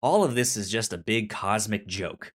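A man discussing a film casually as a co-host, halting and repeating words as he thinks aloud. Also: lowish voice. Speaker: movie podcast co-host.

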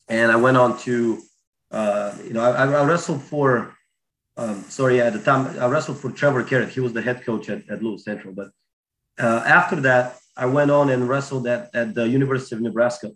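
A man from Bulgaria, giving a casual interview in a halting way that repeats words. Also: mid-range voice, a bit bright, rough recording. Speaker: guest, wrestler and coach.

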